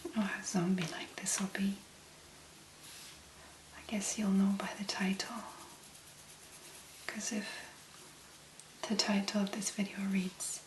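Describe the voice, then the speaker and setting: A woman speaking softly and breathily in an ASMR make-up tutorial, gently musing in a hesitant, unhurried way. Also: mid-range voice, echoey room, slight background noise, low-quality recording. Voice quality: soft, breathy, mostly whispered. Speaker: ASMR content creator, make-up demonstrator.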